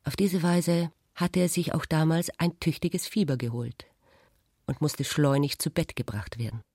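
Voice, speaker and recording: A man's voice: fairly high pitch, fairly even intonation, moderate pace, darkish tone, slightly rough, dry recording, studio quality. A man reading literary prose as a radio drama narrator.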